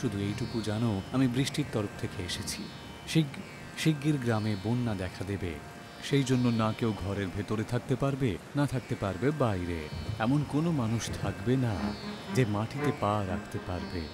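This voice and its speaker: A man voicing a solemn, commanding messenger from the rain heavens, with a measured, authoritative delivery in an animated story. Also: fairly low pitch, close to an everyday voice. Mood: solemn warning. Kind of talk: animated moral story dialogue. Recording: loud music behind the voice.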